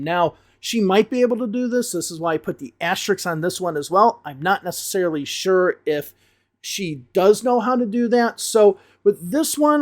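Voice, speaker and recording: An American man from the Chicago area, an English teacher giving a relaxed spoken review full of hedges. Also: high voice. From Chicago area, USA. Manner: relaxed, talks things through with frequent hedges.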